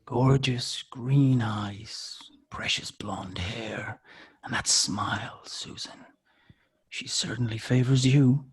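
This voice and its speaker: A menacing male kidnapper acted by a man in a drama table read, very breathy and raspy, drawing out every word. He is mock-courteous and taunting, with sinister calm. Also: medium pitch, slow. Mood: creepy, taunting.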